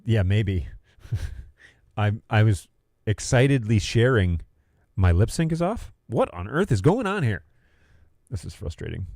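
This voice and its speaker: A man's voice: lowish voice, big pitch swings, a little slow, gravelly, long pauses, a little mellow, no echo, clean studio sound. A man hosting a casual livestream, rambling and thinking aloud as he talks through tech. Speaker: livestream host. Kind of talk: casual livestream chat about video production gear.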